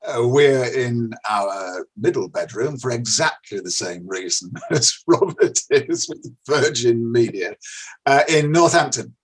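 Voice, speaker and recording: An older man with a husky voice, chatting casually as an interview guest in a rambling, hesitant way and repeating himself. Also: medium pitch, animated, unhurried. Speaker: interview guest, actor and director. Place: bedroom at home, on a video call.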